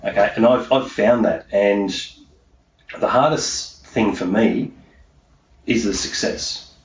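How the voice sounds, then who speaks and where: A man's voice: on the low side, takes it slowly. A middle-aged man giving emphatic, conversational interview answers as a coach, often repeating himself to drive points home. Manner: emphatic, repetitive, punctuates points with "okay". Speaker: interviewee, self-employed mindset coach. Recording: roomy, distant, muffled, faint background noise.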